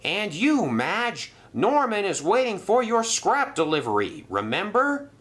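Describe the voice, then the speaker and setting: Man doing a railway controller, stern, fussy, scolding, high pitched. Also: wildly swooping pitch, unhurried, fairly bright tone, heavily acted. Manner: scolding and officious.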